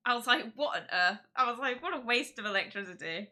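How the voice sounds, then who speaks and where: A woman's voice: on the high side, animated, fast talker, bright, forceful tone. A woman, from Czechia, chatting and rambling on a live stream and giggling often. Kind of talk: casual live-stream chat.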